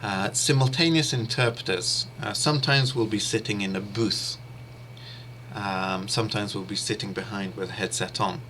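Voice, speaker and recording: A man whose first language is English, teaching an interpreting course in a steady, explanatory way with pauses and fillers. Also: on the low side, slight background noise. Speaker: interpreting instructor. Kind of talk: explanatory online lecture.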